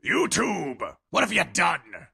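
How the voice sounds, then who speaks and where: A man playing an angry, greedy corporate boss in a comic dub, with a rough, gravelly voice. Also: high-pitched, big pitch swings, slow, very noisy, heavily acted. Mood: angry, scolding. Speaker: voice actor.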